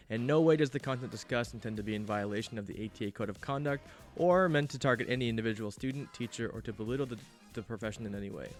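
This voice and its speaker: A young man hosts a podcast in an even, mid-pitched voice. He reads the show's opening with music clearly behind him, then talks loosely with his guest. He nods along out loud with quick short agreements. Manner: agreeable, frequent short affirmations. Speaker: podcast host. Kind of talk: scripted intro, then casual interview chat.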